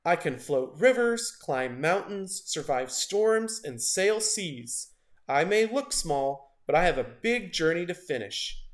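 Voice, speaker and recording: Young male avocado hero for a picture book, read by a man: earnest, determined, wide-eyed, fairly high and lively. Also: takes it slowly. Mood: brave determination. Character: small, brave, wonder-filled young male avocado adventurer.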